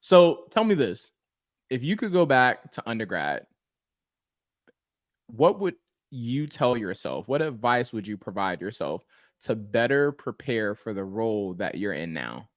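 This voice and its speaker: A man's voice: fairly low pitch, very animated, unhurried, no echo, studio quality. A man hosting a casual interview podcast, responding in an affirming, encouraging way and posing questions.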